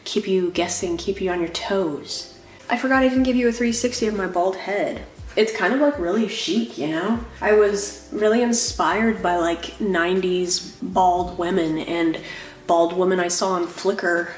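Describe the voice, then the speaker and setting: A woman's voice: on the low side, unhurried, echoey room. A young woman vlogging in a casual, rambling way, full of "like" fillers, sounding nasal from a cold. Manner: rambling, lots of "like" fillers, apologetic. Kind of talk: casual chatty vlog.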